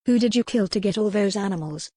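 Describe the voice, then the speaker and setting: A woman's voice: medium pitch, quick pace, mellow, husky, no room sound, clean studio sound, natural voice. A woman voicing a proud, defiant young noblewoman, formal and imperious, in audiobook character dialogue. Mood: challenging.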